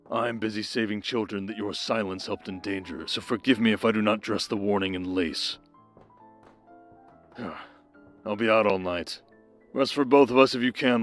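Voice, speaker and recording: A man's voice: lowish voice, close to an everyday voice. A man playing a wry, authoritative baron with cold menace and formal speech in an audio roleplay drama. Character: commanding, sardonic baron, coldly menacing schemer husband.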